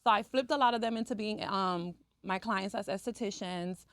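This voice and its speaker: A woman's voice: medium pitch, moderate pace, fairly bright tone, dry recording, studio quality. A woman from Cuba who has lived in the US since early childhood, talking chattily as an esthetician guest in a podcast interview and often checking in with the listener. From Cuba, living in the US since age three. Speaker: interview guest, esthetician and skincare brand owner.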